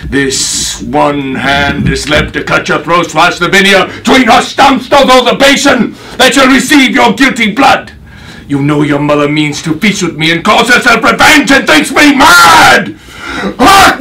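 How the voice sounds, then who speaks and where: A man's voice: low voice, little pitch movement. An actor playing a vengeful, grief-maddened Roman father in a declamatory Shakespearean stage monologue. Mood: raging, anguished. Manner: declamatory, deliberate, emphatic. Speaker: actor in a workshop. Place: acting workshop, indoors. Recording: distant mic, clearly noisy workshop room.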